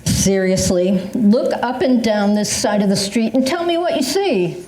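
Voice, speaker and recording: A woman's voice: medium pitch, lively intonation, a little slow, dark tone, lightly acted. An older woman playing an agitated, suspicious older woman in an amateur readers theatre performance, speaking emphatically. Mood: insistent. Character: agitated older woman convinced of a mailbox conspiracy. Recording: roomy echo.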